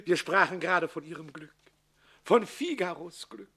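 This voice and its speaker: Radio comedy: older male conspirator, high, swooping, creaky voice, pompous and oily. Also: lively intonation, normal speed, pushed and bright, full character voice. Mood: oily, flattering. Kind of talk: theatrical radio comedy acting.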